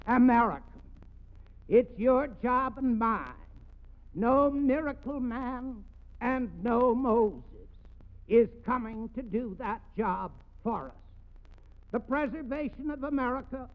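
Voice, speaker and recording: An older man giving a solemn, declamatory speech in 1940s radio style, deliberate with weighty pauses, on a period broadcast recording. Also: very high-pitched. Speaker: alumni leader giving an address. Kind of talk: formal 1940s radio oratory. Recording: muffled, narrow-band 1940s radio recording.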